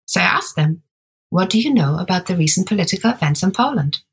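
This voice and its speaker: A woman co-hosting a podcast, speaking in a measured, explanatory way. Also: fairly low pitch, expressive, breathy edge. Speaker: podcast co-host. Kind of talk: scripted podcast narration.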